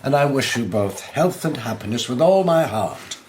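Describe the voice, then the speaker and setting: An actor playing a fussy, kindly old Victorian vicar uncle in a period radio drama, avuncular and given to exclaiming. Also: male voice, medium pitch, lively intonation, moderate pace, a little mellow, a little hiss or hum, close to an everyday voice. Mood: warm, flustered. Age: older.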